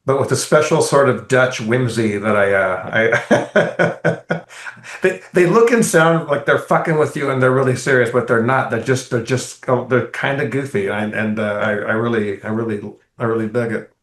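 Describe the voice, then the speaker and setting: A man co-hosting a podcast, telling a rambling, animated travel story in casual, profane chat. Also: medium pitch, moderate pace. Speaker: podcast co-host. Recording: roomy echo.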